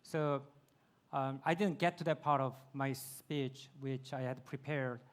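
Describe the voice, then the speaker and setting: Male forum speaker, Korean first language, mid-pitched and explanatory. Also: fairly even intonation, unhurried, clean tone. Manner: thoughtful, explanatory. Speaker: conference speaker. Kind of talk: answering questions on stage.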